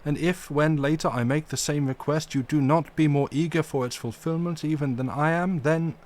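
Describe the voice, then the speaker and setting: A man voicing an elderly, stern but tender foreign professor who speaks in solemn, formal, halting phrases. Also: a little high, expressive, voice pushed up, natural voice.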